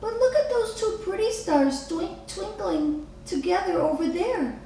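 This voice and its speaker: A woman's voice: very high voice, expressive, a little slow, dark tone, pitched above the performer's own voice, heavily acted. A woman voicing an earnest, wistful little girl from a storybook, with eager, wondering phrasing. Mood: tender, hopeful. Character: earnest, wistful little girl who loves her mother. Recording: echoey room, distant mic, faint noise.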